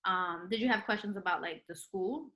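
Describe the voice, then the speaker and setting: A woman's voice: mid-range voice, moderate pace, bright, forceful tone. A young woman, a retired nurse, chatting as an interview guest with lots of ums and likes. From Puerto Rican heritage. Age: young adult. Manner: chatty, many ums and likes, laughs often. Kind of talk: casual interview chat.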